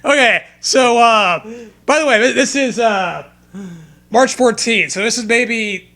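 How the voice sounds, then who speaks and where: A man's voice: very high-pitched, a little slow, sharp tone. A man co-hosting a comedy show, mockingly reading fan fiction aloud with sarcastic, profane banter.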